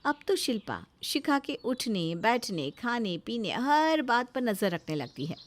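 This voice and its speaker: A woman's voice: fairly high pitch, very animated, measured pace, background noise. A woman narrating a scripted moral story in a dramatic storytelling style.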